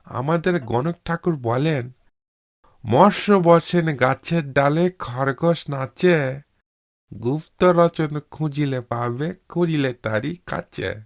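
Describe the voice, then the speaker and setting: A man's voice: a little high, slow pace, gravelly, voice pushed up. A man voicing a sly, cautious old peasant husband in a cartoon story, drawling with feigned innocence. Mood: feigned wonder.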